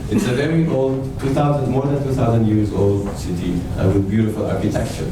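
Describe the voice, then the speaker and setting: A man from Nazareth pitching his hospitality startup in an earnest way, often restarting his phrases. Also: steady tone, a little fast. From Nazareth, Israel. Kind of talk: business pitch presentation. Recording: echoey room, mic far off, noisy.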